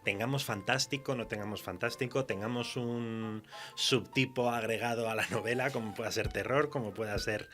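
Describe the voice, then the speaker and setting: A man's voice: lowish voice, moderate pace, fairly bright tone, soft background music. A man with a Spanish accent from Spain, chatting informally and with firm opinions as a guest writer on a radio interview. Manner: informal, laughs often, opinionated. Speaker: guest writer of horror fiction. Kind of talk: casual chat in a radio interview.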